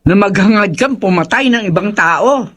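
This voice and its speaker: A woman playing a morally firm older mother in a horror radio drama, gently scolding and disapproving. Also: medium pitch, lively intonation, normal speed. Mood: reproving.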